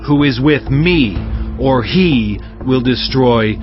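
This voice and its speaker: A man's voice: mid-range voice, animated, takes it slowly. A man playing a proud Egyptian king, giving an emphatic, declamatory warning in a dramatized audiobook. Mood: stern, threatening. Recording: muffled, music behind.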